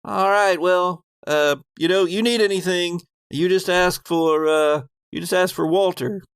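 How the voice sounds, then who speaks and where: Man playing a bashful working guy, pitched up, halting. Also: steady tone, smooth, no room sound, studio quality. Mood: shy, friendly. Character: shy, awkward, polite working man, friendly and bashful. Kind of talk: improvised role-play by a game master.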